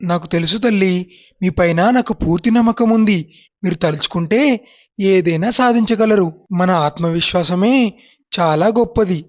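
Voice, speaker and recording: A woman voicing a gentle, encouraging father in an animated moral story, reassuring and affectionate, in a slightly muffled recording. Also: lowish voice, moderate pace, lightly acted. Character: gentle, encouraging father figure full of faith.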